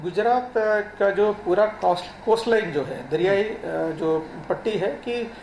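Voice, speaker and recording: A man answers as an official guest in a roomy, echoing space with faint noise. His delivery is formal, halting and repetitive. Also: high-pitched, lively intonation, darkish tone. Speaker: law enforcement official, interview guest. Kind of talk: formal explanation in a TV interview.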